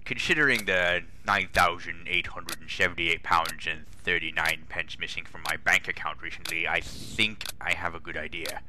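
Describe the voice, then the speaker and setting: Man playing a pompous, blustering British boss, forceful and deep. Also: fairly even intonation, moderate pace, few pauses, slight background noise. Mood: worried. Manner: blustering, grumbling, indignant. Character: pompous, exasperated older British railway boss. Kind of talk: comic dramatic reading.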